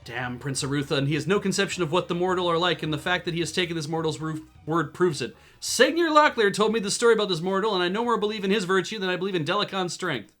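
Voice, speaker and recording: A man voicing a proud, furious middle-aged male border baron in a video game, reading his lines in a haughty, loud manner. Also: high-pitched, animated, fast, few pauses, faint music behind, voice pushed up.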